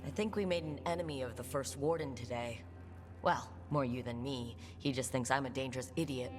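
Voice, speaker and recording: A young rebel adventurer in a fantasy game, earnest and plain-spoken with a wry edge. The sound is reverberant, with music behind. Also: female voice, very deep voice, brisk. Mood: wry, wary. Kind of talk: natural game dialogue. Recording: roomy reverb, music underneath.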